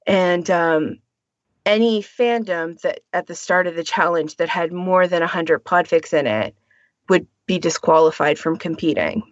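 A woman's voice: on the low side, measured pace, no echo. A woman co-hosting a podcast, talking casually in a discussion. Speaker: podcast co-host. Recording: dull, lo-fi audio.

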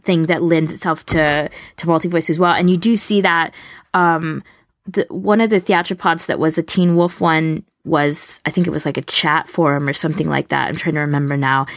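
Female co-host, marked vocal fry, conversational explainer style, very dry close recording. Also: sits low, fairly even intonation, moderate pace, no echo. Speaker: podcast co-host. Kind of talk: casual podcast chat.

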